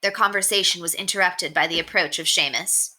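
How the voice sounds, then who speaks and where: A woman narrating a novel aloud in an expressive storybook reading style. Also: on the low side, a little fast, poor audio.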